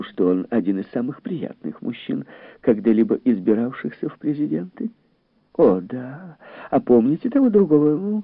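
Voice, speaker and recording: A male reader plays a gossipy, shallow society lady in an audiobook. The pitch is pushed well up and swoops freely. Her talk is smug and exclamatory, on a narrow phone-like recording. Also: gravelly, slightly rough, no echo, exaggerated voice. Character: chattering, smug, shallow society woman, easily scandalized. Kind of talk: audiobook character voice.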